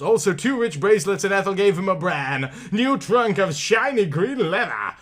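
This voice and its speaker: A man narrating a storybook theatrically, with emphatic, drawn-out words and big swells and drops. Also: a little high, pushed and bright. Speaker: reader of a storybook. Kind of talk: theatrical comic audiobook narration.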